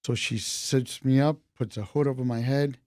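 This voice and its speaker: Brief: Colombian-born man, 50s, New York accent, mellow warm tone, relaxed storyteller, dry sound. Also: medium pitch, moderate pace, no room sound. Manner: relaxed, chatty, laughs between points. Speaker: retired police officer, interview guest. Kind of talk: casual storytelling in an interview.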